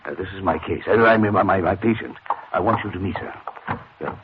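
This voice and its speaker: A man's voice: deep voice, quick pace, crowd noise, lightly acted. An actor playing a fussy, self-important psychoanalyst in 1940s radio drama, pedantic and hesitant, repeating himself as he goes. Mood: flustered, urgent. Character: fussy, rambling male psychoanalyst, self-important and easily flustered. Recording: dull, noisy old radio recording.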